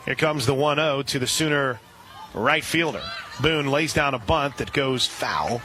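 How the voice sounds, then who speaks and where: A man calling a softball game live on radio, steady between pitches and reading listener shout-outs. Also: unhurried, soft background music. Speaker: radio play-by-play announcer. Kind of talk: live radio sports commentary.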